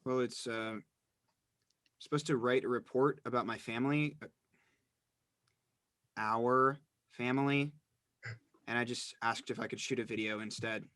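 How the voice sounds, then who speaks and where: A man's voice: medium pitch, steady tone, a little slow, pauses often, a bit bright, no echo, clean studio sound, lightly acted. A man playing a withdrawn, subdued teenage brother in a dramatized script reading, speaking hesitantly.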